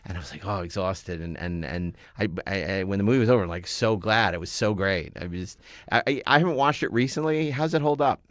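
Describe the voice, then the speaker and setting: A man's voice: on the low side, brisk, no room sound, studio quality. A middle-aged American man hosting a casual film chat, chatty and often repeating words as he goes. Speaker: podcast host.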